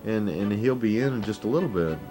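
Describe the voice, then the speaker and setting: Male interviewee, very deep voice, casual anecdotal reminiscence, faint music underneath. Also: lively intonation, fairly quick. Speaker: documentary interviewee.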